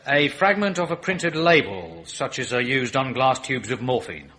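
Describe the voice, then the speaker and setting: An actor playing a police inspector giving firm, matter-of-fact testimony in a radio drama. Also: male voice, medium pitch, expressive, normal speed, sharp tone. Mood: factual, firm. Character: brisk, factual male police inspector. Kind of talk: British radio drama acting.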